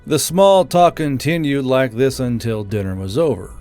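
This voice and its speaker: Casting: male audiobook narrator and host, mid-pitched, informal storytelling, faint music bed. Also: moderate pace, a little mellow.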